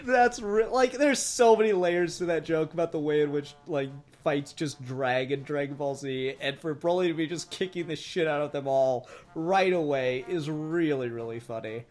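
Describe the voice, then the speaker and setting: A man hosting a podcast in a casual, animated review chat. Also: on the high side, normal speed, hardly any pauses. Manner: giggly, animated quote re-enacting. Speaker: podcast host.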